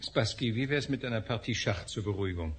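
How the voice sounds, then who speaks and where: A man's voice: lowish voice, lively intonation, a little fast, a bit bright, slight background noise. An actor playing a glib, sardonic male scientist in a radio drama, brusque and joking.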